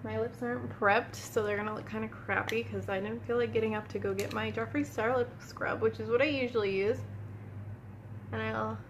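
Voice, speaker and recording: A woman's voice: a little high, fairly even intonation, fairly quick, background noise. A woman giving a casual makeup tutorial at home, chatting to viewers as she applies her products, sniffling a little. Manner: chatty, talks while applying makeup, addresses viewers as "you guys". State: sniffling from a cold room. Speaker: beauty vlogger. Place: indoor room at home.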